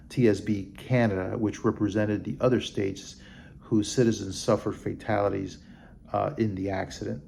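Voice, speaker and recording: Male vlog host, monotone and mellow, halting read-aloud with stumbles, noticeable background noise. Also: fairly low pitch, creaky. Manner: halting, stumbling over names and terms. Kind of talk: reading a report aloud with off-the-cuff comments.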